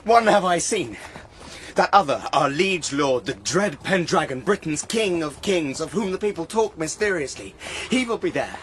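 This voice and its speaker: An eager young man who reveres kings and knights. His voice sits a little high, and he speaks old verse reverently. It is radio drama with faint background noise. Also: lively intonation, moderate pace, a bit bright. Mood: awed. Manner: reverent, archaic phrasing. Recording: faint outdoor ambient noise.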